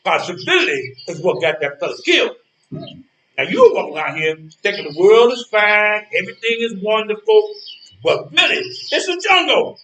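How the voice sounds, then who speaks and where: Streetwise male cynic, acted for stage: blunt, dismissive, very animated delivery, recorded echoey and at a distance. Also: sits high, moderate pace. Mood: grim warning. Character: blunt, cynical, streetwise old friend, unbothered by manners. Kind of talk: stage play dialogue. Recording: echoey, far from mic, birdsong.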